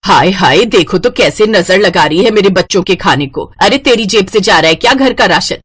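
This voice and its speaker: A woman voicing a frail, doting old mother-in-law in an animated story, fussing and plaintive. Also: mid-range voice, normal speed, slightly rough, no echo, studio quality. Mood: indignant, doting. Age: older. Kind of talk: animated moral-story cartoon voice acting.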